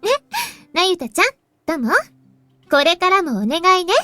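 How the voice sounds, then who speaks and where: A woman's voice: very high-pitched, animated, racing, pushed and bright, no room sound, faint music behind, exaggerated voice. An actress playing a cheerful, coaxing young shrine woman in a Japanese visual novel. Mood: apologetic, friendly.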